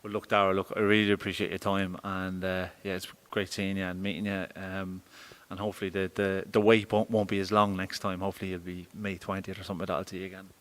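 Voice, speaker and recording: Man, interviewer, very low pitch, flat delivery, rambling, hesitant. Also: fast, few pauses.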